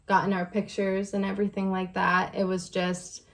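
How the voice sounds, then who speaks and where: A young adult woman co-hosting a vlog, telling a story casually in a relaxed, conversational way. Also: medium pitch, normal speed. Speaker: vlog co-host.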